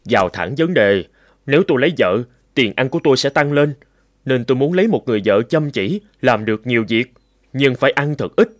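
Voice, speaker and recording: A man voicing a stingy, fussy old rich master in an animated folk tale, calculating and given to grumbling exclamations. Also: mid-range voice, a little fast, no echo, natural voice. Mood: suspicious.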